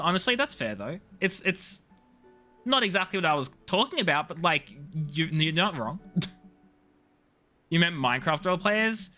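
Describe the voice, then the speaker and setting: Male game streamer, animated and swooping delivery, playful teasing banter, crisp-edged bright tone. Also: a little high. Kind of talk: casual live-stream chat with viewers.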